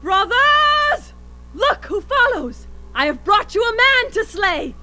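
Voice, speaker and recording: Woman as a very high, taunting frost maiden. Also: sing-song, slow, pushed and bright, full character voice. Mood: gleeful bloodlust. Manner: mocking, theatrical, commanding. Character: taunting, cruel young frost maiden, gleeful and imperious. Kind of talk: dramatized fantasy audio drama.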